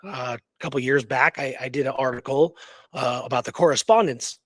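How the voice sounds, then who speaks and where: A man co-hosting a history show, talking off the cuff in a halting way with emphatic repetition. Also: mid-range voice, dry recording. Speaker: co-host of a history radio show. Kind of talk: unscripted history discussion.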